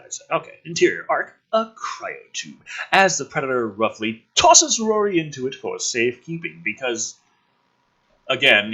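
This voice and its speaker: A man's voice: medium pitch, sharp tone, slightly rough, poor audio. A man hosting a show, mixing ranting commentary with dramatic script reading in a sarcastic, sweary, informal way.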